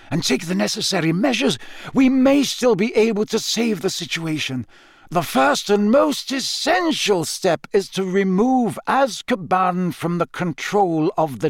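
An old wizard of great authority acted out by a male audiobook reader speaks with solemn urgency. The pitch is lifted and moves widely on stressed words, each phrase measured and emphatic. The storybook recording is close and dry. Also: very animated, creaky, dry recording, exaggerated voice. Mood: grave, firm. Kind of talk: audiobook character voice.

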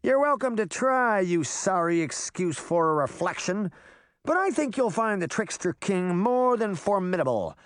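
A man's voice: sits high, very animated, measured pace, dry recording, pitched above the performer's own voice. A man voicing a cocky, defiant boy fairy trickster with a boastful, daring delivery.

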